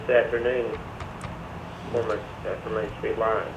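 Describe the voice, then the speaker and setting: Gravelly man, relaxed. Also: fairly low pitch, moderate pace, background noise. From Middle Tennessee. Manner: relaxed, many "uh" fillers. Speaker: musician guest. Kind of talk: casual interview answers. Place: remote link to a TV studio.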